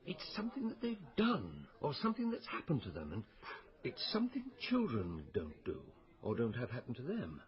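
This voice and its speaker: An actor playing a sardonic older doctor in a radio drama, musing and theatrical in manner. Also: male voice, mid-range voice, huge pitch swings, moderate pace, highs cut off. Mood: musing, intent. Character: wry, sardonic old male doctor detective. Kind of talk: naturalistic radio drama acting.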